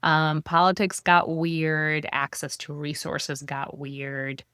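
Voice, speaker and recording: A woman's voice: low voice, little pitch movement, slow, sharp tone, clear, resonant tone, dry recording, studio quality. A woman from Russia, an executive coach, telling her life story in a casual interview. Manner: conversational with fillers and restarts.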